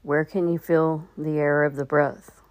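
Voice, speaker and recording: Low, even-toned woman guiding meditation. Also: dry recording. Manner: gentle instruction with long pauses. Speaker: meditation guide and podcast host. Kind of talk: guided meditation.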